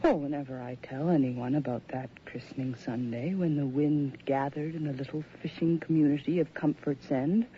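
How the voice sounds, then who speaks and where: A woman's voice: deep voice, moderate pace, few pauses, lo-fi sound, a little hiss or hum, natural voice. An actress playing a shaken, haunted woman in a radio horror drama, telling her story dramatically on a vintage recording. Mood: haunted, distraught. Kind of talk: old-time radio horror drama acting.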